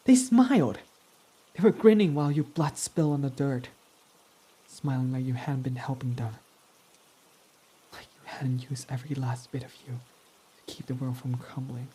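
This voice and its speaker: A man's voice: mid-range voice, warm tone, slightly rough. A young man voicing a brooding, foul-mouthed villain who is guarded but devoted, speaking in an intimate, confessional way close to the mic. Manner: intimate and confessional, swearing freely. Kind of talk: ASMR roleplay audio drama.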